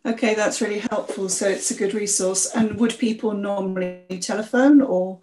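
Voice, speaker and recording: A woman hosting a podcast, introducing the show and asking a guest clear, friendly questions. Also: medium pitch, steady tone, moderate pace, low-quality recording. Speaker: podcast host. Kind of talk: interview podcast.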